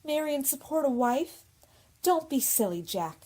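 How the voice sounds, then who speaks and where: A woman's voice: high voice, animated, normal speed, dark tone. A woman playing a guarded young assistant, firm and proper, in a radio drama. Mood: cool firm. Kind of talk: old-time radio drama acting.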